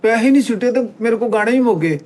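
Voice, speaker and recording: A man's voice: very high voice, rapid-fire, darkish tone. A man with a Pakistani Punjabi accent, a singer on a comedy panel, casually telling comic anecdotes.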